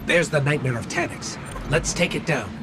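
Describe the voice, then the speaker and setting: A man's voice: fairly high pitch, animated, moderate pace, natural voice. A man playing a small, loyal, wary robot companion in a video game, with an earnest and dramatic delivery. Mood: relieved, determined. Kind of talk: video game cutscene acting. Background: music.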